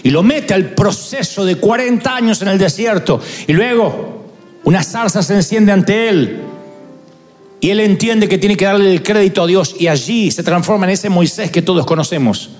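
A man in his fifties preaching a fervent sermon as pastor, building up to shouts. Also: high-pitched, animated, normal speed, soft background music. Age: 50s. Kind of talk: impassioned sermon.

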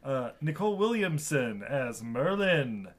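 A man's voice: medium pitch, very animated, a little slow. A young man hosting a casual movie podcast, running through cast and crew lists with lots of ums and laughter. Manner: laughing often, listing credits with filler ums. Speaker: podcast host.

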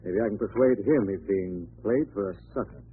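An actor playing a confident, inquisitive gentleman sleuth in 1940s radio style, urbane and assured. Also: fairly low pitch, moderate pace, breathy edge. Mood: persuasive. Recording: noisy, phone-like old radio recording.

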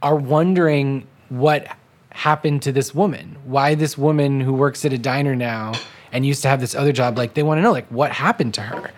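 An American man, a writer being interviewed, recalling events in a halting, self-deprecating, casual way. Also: mid-range voice. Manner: halting, self-deprecating, acts out remembered remarks.

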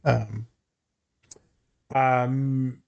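Casual male podcast host, a therapist, mid pitch, relaxed and jokey. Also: extremely slow, vocal fry, muffled recording.

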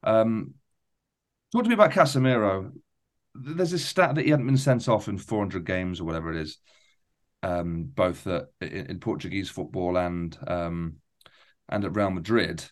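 Man, low pitch, dry studio sound, podcast host, casual sports discussion. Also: normal speed, dry recording.

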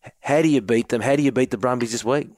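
A man's voice: mid-range voice, fast talker, a little mellow, no room sound. Man, co-host, matey, encouraging, dry close voice. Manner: matey, encouraging, says mate often. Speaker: podcast co-host. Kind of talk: casual interviewing.

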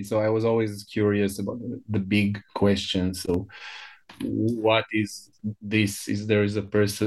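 A man's voice: lowish voice, a little mellow, low-quality recording. A man, Hebrew speaker, giving reflective interview answers as a guest scholar. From Israel. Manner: reflective, searching for words.

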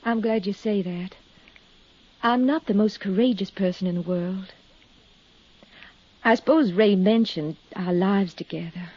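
An actress playing a young widow in a 1950s radio drama, fragile and gracious, effusive and eager to please. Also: female voice, mid-range voice, unhurried, pauses often, darkish tone. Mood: tender, confiding. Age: young adult. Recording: dull, slightly husky-sounding 1950s radio recording.

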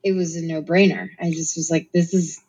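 A woman from Norway, an actress talking as a guest in a casual interview, chatty and quick to laugh, with many ums. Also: sits low, fairly even intonation, darkish tone, breathy edge. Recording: slightly muffled recording.

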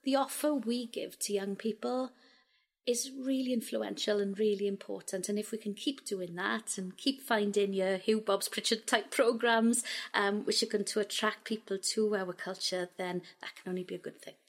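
An energetic woman who edits a radio station talks as an interview guest. Her voice sits at an ordinary middle pitch. She speaks with enthusiasm and sprinkles her answers with fillers. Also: normal speed. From Wales. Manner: upbeat, energetic, frequent "you know" and "um".

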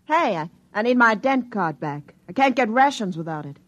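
A woman's voice: a little high, animated, normal speed, fairly bright tone, no echo. A woman playing a wary, streetwise young bar girl in an old-time radio drama, guarded and slangy. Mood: nervous.

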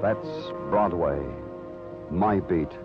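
An actor playing a weary American police detective in an old radio noir drama, somber and deliberate in speech. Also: male voice, low-pitched. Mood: brooding, poetic. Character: weary, brooding male big-city police detective. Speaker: narrator and lead detective. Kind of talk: old-time radio noir acting, poetic narration. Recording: dull vintage radio recording, loud music behind.

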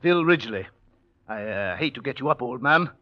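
An actor playing a stern, controlling, wealthy old uncle in 1940s radio drama, imperious and deliberate. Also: male voice, mid-range voice, animated, natural voice. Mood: cold, commanding. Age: older. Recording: dull vintage radio recording.

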